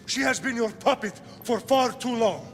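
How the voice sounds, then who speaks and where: A bold Spartan king in a dramatic game scene. His high, forceful voice swings widely with scorn and defiance, ringing through a long echoing hall. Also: normal speed, faint music behind. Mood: angry, defiant. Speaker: actor voicing a game character. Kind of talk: dramatic video game acting.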